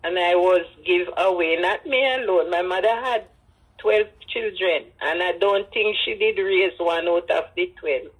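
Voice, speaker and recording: An elderly woman with a Jamaican accent and husky voice, telling personal stories with emphatic repetition and Creole phrasing. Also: on the low side, a little slow, low-quality recording. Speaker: interviewee, grandmother. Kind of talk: personal storytelling in an interview.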